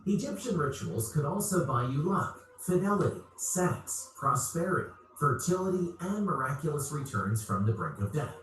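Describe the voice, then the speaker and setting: A man reads documentary narration with a lively, swooping delivery and a slightly raised pitch. He sounds far off in a very echoey space with faint noise behind. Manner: lively, dramatic reading. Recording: very echoey, distant documentary clip.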